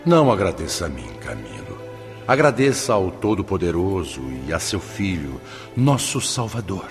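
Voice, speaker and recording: An actor playing a benevolent, wise spirit mentor in a radio drama, explaining solemnly and patiently. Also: male voice, sits low, big pitch swings. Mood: gently admonishing. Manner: solemn, didactic, measured explaining. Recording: long cavernous reverb, loud music underneath. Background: music.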